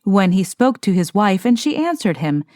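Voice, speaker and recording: A woman narrates a fairy tale with a lively, melodic delivery. Her pitch sits in a middle range and moves freely as she tells the story. The sound is dry, with no room around it. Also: normal speed, no room sound.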